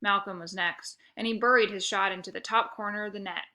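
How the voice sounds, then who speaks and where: A woman narrating a children's book aloud with expressive, first-person storytelling. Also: medium pitch, brisk.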